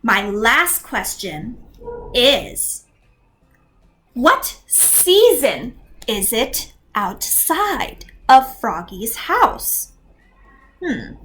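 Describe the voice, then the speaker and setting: Slow, lively woman reading to kids. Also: fairly high pitch, rough recording. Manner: slow, expressive, animated sound effects. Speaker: teacher. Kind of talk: read-aloud storytelling for young learners.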